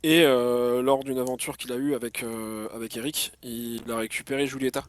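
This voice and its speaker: A man player presenting his tabletop character in a halting way, with many fillers and restarts. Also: medium pitch, fairly even intonation, a little hiss or hum.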